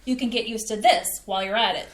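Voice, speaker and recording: A woman's voice: medium pitch, fairly even intonation, fast talker, close to an everyday voice. A woman reading the part of a fed-up middle-aged mother and wife in an amateur staged play reading, speaking emphatically and scolding. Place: theater stage. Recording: roomy stage sound.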